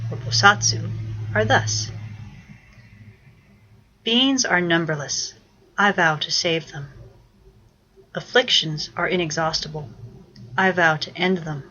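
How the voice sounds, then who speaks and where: A woman narrates a guided meditation in a somewhat low voice. Her delivery is measured and instructive, with the tone of someone reciting prayers. The recording sounds a little dull and lo-fi, like a homemade track. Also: pushed and bright.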